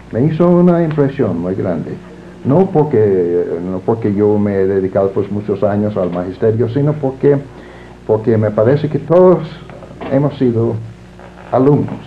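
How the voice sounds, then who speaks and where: Older man, native English, formal Spanish. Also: fairly low pitch. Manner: formal, measured and reflective. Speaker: interviewee. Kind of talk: formal recorded interview. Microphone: camera mic. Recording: narrow lo-fi sound with faint hiss.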